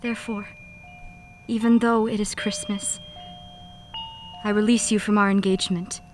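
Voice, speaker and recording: A woman's voice: mid-range voice, flat delivery, moderate pace, darkish tone, faint music behind, natural voice. A woman playing a sorrowful young fiancée, earnest and formal, in a radio play. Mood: sad, resolute. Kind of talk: old-time radio drama acting.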